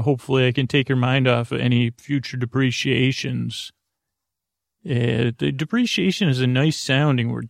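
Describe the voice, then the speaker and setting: Man, sleep podcast host, creaky voice, mumbly and rambling, dry close sound. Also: mid-range voice, no room sound. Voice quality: creaky, mumbly, lots of filler words. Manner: slow, meandering, soothing and self-deprecating. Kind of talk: rambling bedtime podcast talk.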